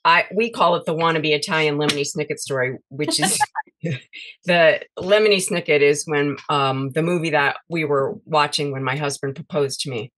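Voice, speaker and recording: A woman's voice: sits low, normal speed, a bit bright. A woman guest on a podcast, talking casually about her recipes with many fillers and restarts. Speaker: guest, cookbook author. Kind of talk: casual interview chat.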